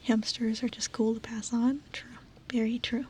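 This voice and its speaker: A young woman whispering softly and nervously into a close microphone, reading chat and repeating calming trigger words. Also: fairly high pitch, normal speed, a little hiss or hum. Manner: soft whisper, nervous and giggly. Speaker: ASMR streamer. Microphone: close ASMR microphone.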